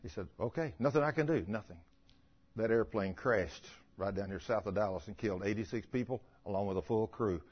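A man's voice: fairly low pitch, brisk, husky, highs cut off. A man preaching in a folksy, emphatic way, reading scripture and putting plain questions to the congregation.

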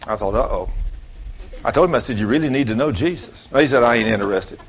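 A man's voice: mid-range voice, animated, fast. An older man, near seventy, preaches with a mid-range voice. He is folksy and plain-spoken, telling stories in an informal sermon and addressing his listeners directly. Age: late 60s.

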